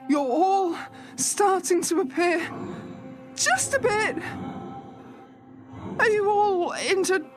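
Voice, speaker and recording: A woman acting a high-voiced, sardonic hotel manager. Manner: sarcastic, hesitant when flustered. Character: skeptical, sardonic new hotel assistant manager from London, growing uneasy. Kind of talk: radio play acting. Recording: music behind the voice.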